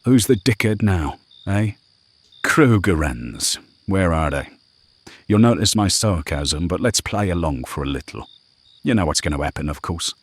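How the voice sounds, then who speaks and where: An actor playing a cold, sarcastic loan shark who threatens in a clipped way. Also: male voice, low-pitched, lively intonation, a little slow, slightly rough, dry recording, full character voice. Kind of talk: audio drama acting.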